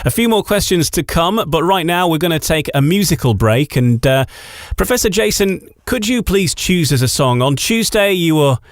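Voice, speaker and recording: A man's voice: a little high, animated, normal speed, hardly any pauses, no room sound, studio quality. A man hosting a radio interview, presenting and reading out questions for his guest. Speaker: radio host.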